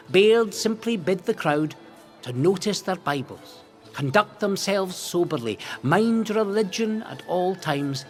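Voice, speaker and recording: A man reading scripted historical narration in a measured, dramatic storytelling manner with emphatic phrasing. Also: fairly high pitch. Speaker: narrator of a local history series. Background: music bed.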